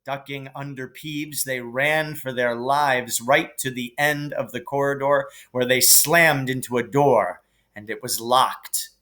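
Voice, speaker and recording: A man reading a children's story aloud as dramatic, deliberate, suspenseful narration. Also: mid-range voice, fairly even intonation, sharp tone. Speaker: reader.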